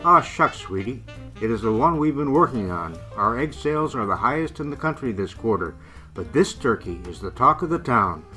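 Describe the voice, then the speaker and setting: A man playing a genial, proud grandfather and company salesman in a radio play, with a folksy pitchman's manner. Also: expressive, normal speed, sharp tone. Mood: proud, selling. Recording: music behind the voice.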